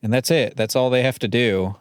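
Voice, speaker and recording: A man's voice: mid-range voice, brisk, clean tone, no room sound, studio quality. A man chatting casually on a podcast in a wry way.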